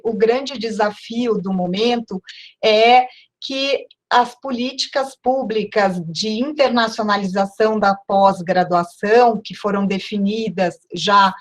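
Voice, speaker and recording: A woman professor from Porto Alegre giving a measured, formal closing talk at an online university event. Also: a little high. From Porto Alegre, Brazil. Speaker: guest professor.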